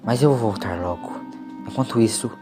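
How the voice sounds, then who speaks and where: A man's voice: lowish voice, brisk, music in the background, natural voice. A Brazilian man voicing a brave, tender father, a former ship mechanic, in an audio story. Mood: tender, reassuring.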